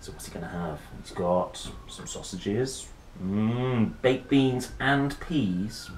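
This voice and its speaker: A man in his thirties reading a picture book with playful, chatty asides and dramatic pauses. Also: on the low side, animated. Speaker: storyteller and host. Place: small wooden room or shed. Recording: roomy echo, faint background noise.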